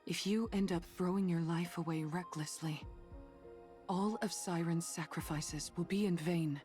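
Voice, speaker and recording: Woman acting a low, stern, level-headed android soldier. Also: fairly even intonation, a little mellow, soft background music, natural voice. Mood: stern, protective. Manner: measured, firm, grave. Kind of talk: dramatic anime game dub acting.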